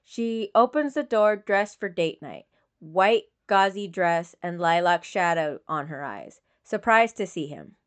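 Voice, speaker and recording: A woman's voice: fairly low pitch, expressive, measured pace, smooth. A woman reading scripted fiction as an audiobook narrator.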